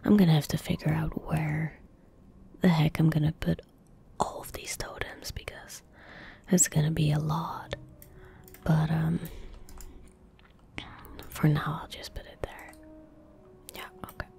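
A young woman whispering gently and breathily in a soft-spoken ASMR style as she comments on a game she plays. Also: sits low, level tone, vocal fry. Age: young adult. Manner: gentle, unhurried, murmured asides to self. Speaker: ASMR gaming streamer. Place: indoor room at a computer. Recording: faint background noise, game ambience. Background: soft game ambience, faint noise.

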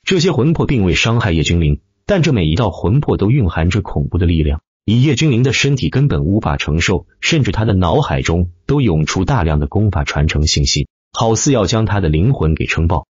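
Male audiobook narrator, animated dramatic delivery, lowish voice, close dry sound with dulled top end. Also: a little fast, hardly any pauses, husky, no echo, lo-fi sound. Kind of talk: scripted dramatic narration of fantasy web novel.

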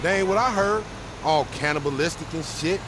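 An actor playing a loudmouthed, suspicious young street hustler in a video game, talking in teasing, profane slang. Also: male voice, fairly high pitch, moderate pace, natural voice. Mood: scornful. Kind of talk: video game dialogue, bantering. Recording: vehicle noise behind the voice.

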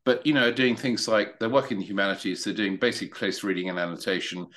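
A man speaking casually on a video call, opinionated, with frequent halting restarts. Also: on the low side, steady tone, a bit bright. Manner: hesitant, many restarts, opinionated. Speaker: meetup participant.

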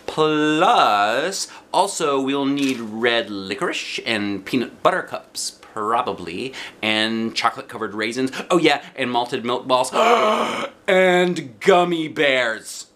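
Man playing a little girl, storybook kindergartner, high and bright, eager, demanding, exclaiming. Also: animated, takes it slowly, hardly any pauses. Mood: excited. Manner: loud, eager, childlike exclaiming.

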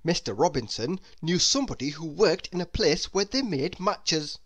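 A storybook narrator reading aloud for children. The voice sits at a medium pitch and moves up and down freely. The telling is expressive yet measured.

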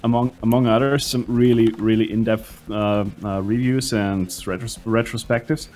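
A man's voice: lowish voice, fairly even intonation, a little mellow. A man chatting casually over a voice call. He swears freely, restarts his sentences often and sounds eager about what he is saying. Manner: enthusiastic, profane, halting restarts.

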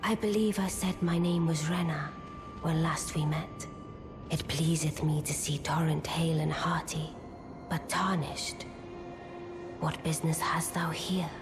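Haughty witch from a fantasy game, deep slow voice, old-timey speech, music playing loud. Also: steady tone. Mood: aloof, composed. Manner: archaic, stately, measured. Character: aloof, imperious witch noblewoman. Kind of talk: scripted video game character dialogue.

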